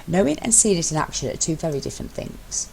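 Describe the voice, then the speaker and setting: A woman voicing a bossy, sardonic husband in an amateur story reading, speaking with emphatic, deliberate sarcasm. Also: on the low side, sing-song, vocal fry, a little mellow, a little hiss or hum, voice pushed up, low-quality recording. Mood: teasing, superior.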